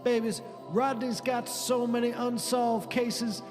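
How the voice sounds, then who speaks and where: A man's voice: extremely high pitch, level tone, big-room sound, over music. A man playing the showy, teasing ghost of a male lounge entertainer in a scripted audio comedy, drawing out his words. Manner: showy, drawn-out, calls listeners babies.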